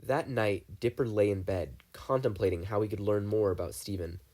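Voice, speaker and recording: A man giving an expressive, dramatic reading of a fan fiction story as an audiobook. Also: on the low side, normal speed. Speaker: podfic reader.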